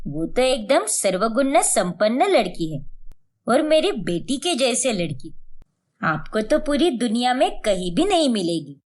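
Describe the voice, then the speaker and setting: Woman acting a doting mother, very animated delivery. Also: a little high, a little slow. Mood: boastful. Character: doting, boastful middle-aged mother, flustered when cornered. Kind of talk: acted animated moral story.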